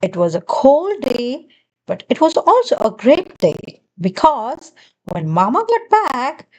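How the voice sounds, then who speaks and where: A woman reading a children's storybook aloud in an expressive narrating style. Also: high voice, slow pace, low-quality recording.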